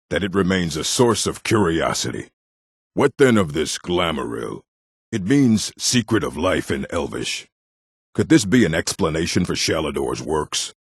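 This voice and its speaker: Bookish orc mage-librarian, low-pitched, rough husky tone, gruff, measured diction, fantasy game dialogue. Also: male voice, a bit bright, no room sound, clean studio sound, exaggerated voice. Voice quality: deep, gravelly husky voice. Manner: measured, deliberate, learned diction.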